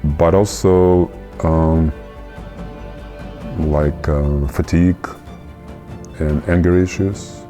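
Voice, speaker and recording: A man, a soldier, speaking haltingly with many fillers in an interview. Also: low-pitched, steady tone, over music. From Ukraine, raised in Canada. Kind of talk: interview testimony.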